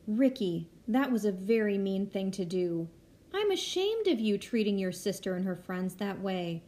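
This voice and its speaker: A woman's voice: medium pitch, big pitch swings, moderate pace, dark tone, lightly acted. A woman playing a stern, concerned mother in an amateur audio play. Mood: stern, disappointed. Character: stern, concerned American mother, firm disciplinarian.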